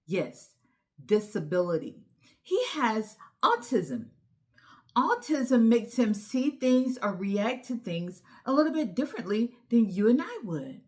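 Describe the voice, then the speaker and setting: A woman hosting a children's storytime lesson, encouraging and instructive, speaking directly to young children with emphatic, deliberate enunciation. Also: fairly high pitch, big pitch swings, darkish tone. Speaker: children's read-aloud host and teacher.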